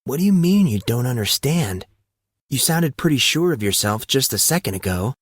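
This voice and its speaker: A man's voice: medium pitch, sing-song, moderate pace, voice pushed up. A man voicing a flustered, defensive fourteen-year-old boy in an audio story, incredulous and exasperated in manner.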